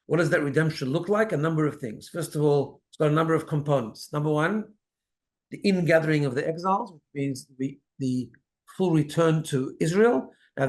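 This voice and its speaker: Man, fairly high voice, rabbi co-host, conversational teaching, explanatory, methodical. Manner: explanatory, step-by-step. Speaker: rabbi, co-host of a religious discussion show.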